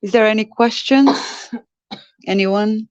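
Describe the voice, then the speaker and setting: A woman's voice: fairly high pitch, slow, smooth, no room sound, highs cut off. A woman moderating a festival Q&A, tentative and searching for words.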